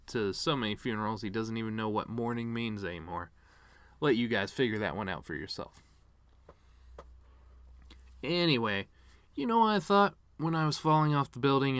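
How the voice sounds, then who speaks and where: A man's voice: medium pitch, animated, moderate pace, fairly bright tone, smooth, no room sound, lightly acted. A man performing a dramatic monologue as a bitter, regretful actor giving his mother's eulogy, with sardonic, rambling asides.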